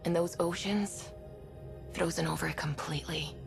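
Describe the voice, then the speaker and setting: A businesslike female science officer, with a slightly low voice. She is technical and matter-of-fact in game cutscene style, over clear music. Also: steady tone, normal speed. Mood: factual. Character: businesslike woman science officer briefing on a planet. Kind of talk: video game cutscene acting.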